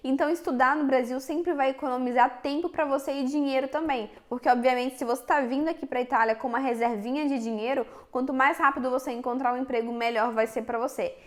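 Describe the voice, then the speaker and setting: A young Brazilian woman with a Minas Gerais accent and a high, bright-sounding voice. She talks frankly to her viewers in a relaxed vlog, addressing them directly the whole time. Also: fast talker, few pauses. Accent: Minas Gerais Portuguese. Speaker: vlogger. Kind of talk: casual chat to camera.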